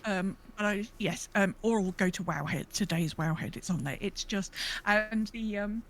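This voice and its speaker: A woman chatting casually as a podcast host, telling stories in an animated way and acting out what people said. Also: medium pitch, moderate pace. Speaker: veterinary clinic worker. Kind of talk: casual chat.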